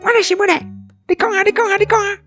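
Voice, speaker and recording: A man's voice: very high-pitched, flat delivery, very fast, a bit bright, faint music behind, voice pushed up. A man with a Taiwanese accent voicing grumpy, gullible, food-greedy little forest dwarfs in a children's radio play, blunt and indignant. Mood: nagging, demanding.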